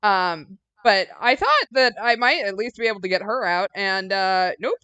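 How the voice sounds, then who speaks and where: An easygoing woman co-hosts a gaming stream, recapping events with dry wit. Her voice is mid-pitched and recorded close and dry. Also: lively intonation, moderate pace, pushed and bright, clean tone, no room sound. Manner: easygoing, wry, recaps the plot. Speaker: let's play co-host. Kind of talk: casual gaming chat and story recap.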